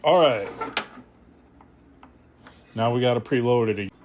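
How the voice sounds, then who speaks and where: A man's voice: mid-range voice, measured pace, a little mellow, soft background music. A man talking casually and playfully, with enthusiasm, as he hosts a hands-on radio restoration vlog. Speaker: host of a radio repair channel.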